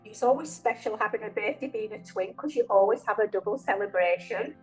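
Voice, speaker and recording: A woman in her fifties with a mid-pitched voice. She speaks cheerfully and clearly over a webcam. Light music runs behind. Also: a little fast, rough recording. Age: 50s. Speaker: interviewee. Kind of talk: casual reminiscing.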